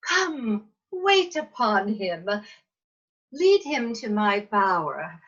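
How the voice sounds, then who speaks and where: A woman playing a regal, doting fairy queen in a Shakespeare table read, speaking measured verse theatrically over a video call. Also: mid-range voice, very animated, a bit bright, exaggerated voice. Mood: commanding. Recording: muffled video call audio.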